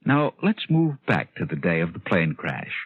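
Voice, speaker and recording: Deep, husky, sinister schemer. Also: male voice, sing-song, no echo, heavily acted. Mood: smug, knowing. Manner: deliberate, theatrical, knowing. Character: smug, sinister male plotter guiding a murder story. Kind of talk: 1940s radio acting, dramatic narration. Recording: muffled, tinny 1940s radio recording with music.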